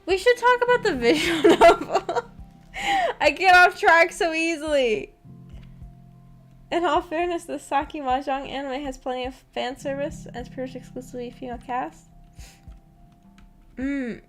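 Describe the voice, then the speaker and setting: A young woman with a fairly high voice hosts a casual livestream. She rambles along in a giggly, self-deprecating manner, talking to her viewers. Soft music plays behind her. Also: unhurried, long pauses. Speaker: livestream host.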